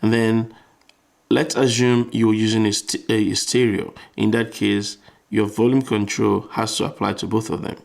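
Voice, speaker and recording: Male tutor, low creaky voice, informal step-by-step electronics walkthrough with repeated phrases. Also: little pitch movement, normal speed, darkish tone. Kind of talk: explanatory tutorial, thinking aloud while demonstrating.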